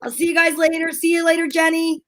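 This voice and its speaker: A woman with a very high voice works as a co-host. Her talk is casual and conversational, as in an informal live chat. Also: completely flat delivery, fast talker, a little hiss or hum.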